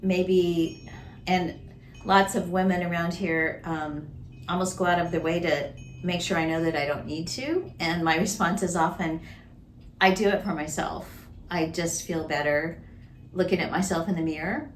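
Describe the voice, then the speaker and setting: A woman in her sixties, a retired minister, answering interview questions slowly and thoughtfully, her voice trailing off softly. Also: fairly low pitch, steady tone, roomy sound, slight background noise. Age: 60s.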